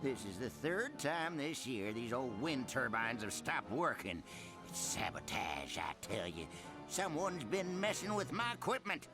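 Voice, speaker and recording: A man's voice: medium pitch, very animated, a little slow, music in the background. A man playing a timid, grumbling small-town mechanic in a video game, with a rural American accent and a folksy, rambling manner. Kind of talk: video game cutscene acting.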